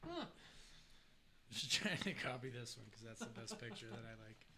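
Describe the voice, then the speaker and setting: A man's voice: medium pitch, sing-song, normal speed, crowd noise. A man in his late twenties chatting casually during a tabletop game, rambling and cursing as he laughs along. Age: late 20s. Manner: rambling, profane, laughs often. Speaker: player. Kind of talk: casual chat.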